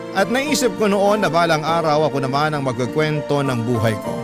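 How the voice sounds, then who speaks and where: A man with a Filipino accent narrating a listener's letter emotively as a radio host. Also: medium pitch. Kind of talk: dramatic radio storytelling narration.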